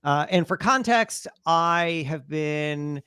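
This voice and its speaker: A man speaking as an interview guest, telling stories conversationally and acting out bits of dialogue in an animated way. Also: a little high, takes it slowly, smooth, no room sound, clean studio sound.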